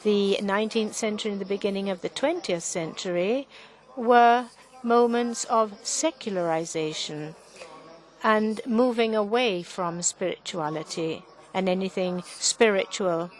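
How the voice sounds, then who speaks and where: A woman interpreting a panel talk live, in halting phrases with frequent restarts and self-corrections. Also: medium pitch, poor audio. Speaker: conference interpreter.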